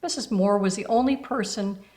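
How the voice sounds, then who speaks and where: A woman reading a children's book aloud in expressive storybook narration. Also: mid-range voice, moderate pace.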